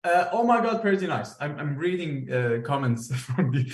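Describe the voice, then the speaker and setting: A man's voice: fairly high pitch, very animated, normal speed. A man hosting a meetup on a video call, friendly and laughing often while reading out the chat. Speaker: meetup host. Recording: echoey video call audio.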